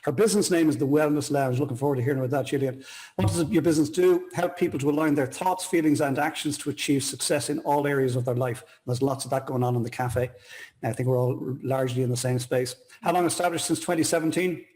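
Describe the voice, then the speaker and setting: A man's voice: medium pitch, steady tone, fast, darkish tone. A man hosting a casual interview chat, effusive and full of compliments. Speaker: talk show host.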